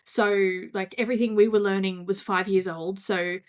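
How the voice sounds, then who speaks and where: A woman's voice: mid-range voice, steady tone, moderate pace. A woman with an Australian accent, a marketing coach telling her career story as a podcast guest in a chatty, self-deprecating way. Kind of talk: podcast interview.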